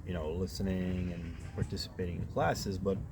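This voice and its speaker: A man in his thirties from Croatia, answering interview questions in a relaxed way and often saying "you know" and "right?". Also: low voice, moderate pace, noisy background. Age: 30s.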